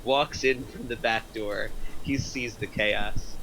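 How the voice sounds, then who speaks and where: Bright-voiced man reading stage directions. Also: lowish voice, fairly even intonation, takes it slowly, slight background noise, rough recording. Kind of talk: script table reading.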